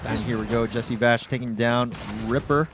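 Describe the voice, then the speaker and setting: A man's voice: mid-range voice, fairly even intonation, normal speed, background noise. A man giving conversational analysis as an esports co-caster, casually thinking aloud. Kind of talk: live analytical commentary, conversational.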